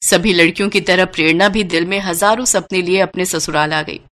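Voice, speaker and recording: A woman's voice: fairly low pitch, steady tone, brisk. A woman narrating a scripted moral bedtime story as storyteller.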